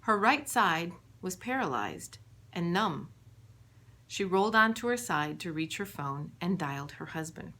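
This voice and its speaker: A woman reading her own book aloud as scripted audiobook narration, in a measured storytelling manner. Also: lowish voice, lively intonation, bright, forceful tone.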